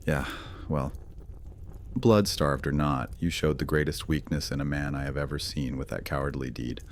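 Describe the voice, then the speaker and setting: A man voices a cocky male vampire gladiator with an extremely low voice. He drawls his taunts with a mocking sneer, as in a dramatic audiobook. Faint ambient noise sits behind him. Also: fairly even intonation, moderate pace.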